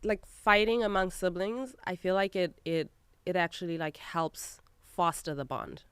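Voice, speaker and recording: A woman's voice: fairly low pitch, steady tone, measured pace, clean tone, dry recording. A young adult woman from Zimbabwe, a guest in a casual interview, telling stories with frequent laughter. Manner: laughs often, lighthearted storytelling. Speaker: guest, magazine editor.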